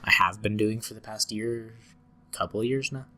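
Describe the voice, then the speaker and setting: A man's voice: fairly low pitch, normal speed, bright, forceful tone. A young man hosting a casual podcast, sounding congested and a little scratchy from a cold, rambling with lots of fillers and restarts. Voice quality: slightly scratchy, nasal. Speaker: podcast host.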